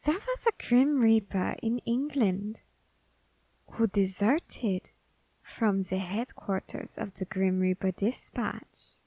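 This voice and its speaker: A woman playing a scholarly, quietly menacing Grim Reaper in an ASMR roleplay, speaking in formal, deliberate phrases with pauses. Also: medium pitch, no room sound, poor audio. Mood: grave, confiding. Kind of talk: ASMR character roleplay audio drama.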